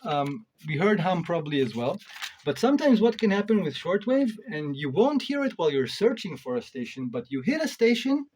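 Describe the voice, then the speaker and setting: A man's voice: high voice, very animated, fairly quick, darkish tone, slight background noise. A man giving a casual hands-on gear review, thinking aloud in an informal way with emphatic stresses. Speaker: reviewer of modular synth gear.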